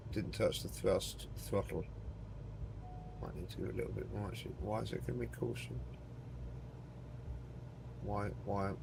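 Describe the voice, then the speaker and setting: A man with a gruff, fry-laden voice narrates his own gameplay in a loose, joking way, swearing now and then. He mutters to himself and speaks to his chat. Loud aircraft engine noise roars under his voice. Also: lowish voice. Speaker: game streamer. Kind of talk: casual live commentary while playing a flight simulator.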